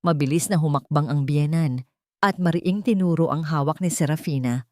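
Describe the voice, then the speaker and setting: A woman's voice: deep voice, normal speed, darkish tone, no echo, studio quality. A woman reading a scripted, dramatic love story as its narrator.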